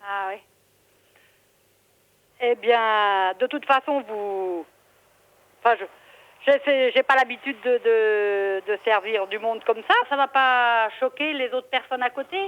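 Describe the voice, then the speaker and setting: A woman's voice: on the high side, takes it slowly, long pauses. A woman who owns a restaurant, chatting on the telephone in a polite, deferential and hesitant way. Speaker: restaurant owner answering the phone. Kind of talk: spontaneous phone conversation. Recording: dull lo-fi telephone line.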